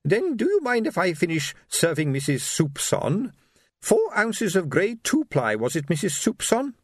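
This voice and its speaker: A man plays a tiny old lady shopkeeper in a storybook. The voice is raised, thin and querulous, dithering politely with a lively lilt. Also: high voice, unhurried, husky, no echo, studio quality, pitched above the performer's own voice, exaggerated voice. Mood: prim. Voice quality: thin, quavering.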